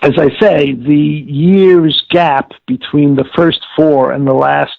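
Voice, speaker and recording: A man answering interview questions as a producer, measured and wry, searching for his words. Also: fairly high pitch, steady tone, gravelly, no room sound. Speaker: audiobook producer being interviewed. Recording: tinny, dry phone line.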